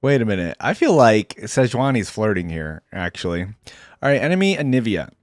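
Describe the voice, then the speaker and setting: A man's voice: on the low side, big pitch swings, normal speed, no echo. A man giving casual reaction commentary as the host of a video.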